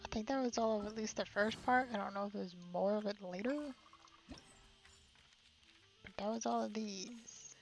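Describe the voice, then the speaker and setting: A woman's voice: medium pitch, steady tone, normal speed, vocal fry, long pauses. A woman chatting casually and a little giggly as she livestreams a video game. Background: game music.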